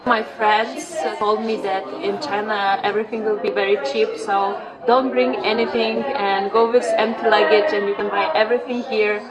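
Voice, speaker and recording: A woman's voice: medium pitch, fairly even intonation, moderate pace, hardly any pauses, rough recording. A woman from Lithuania, speaking in simple, direct phrases. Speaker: interviewee. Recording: loud crowd behind.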